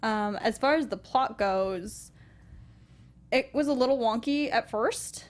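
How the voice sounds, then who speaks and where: A young woman co-hosting a casual movie review chat, chatty and quick to laugh as she quotes her own reactions. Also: on the high side. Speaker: podcast co-host.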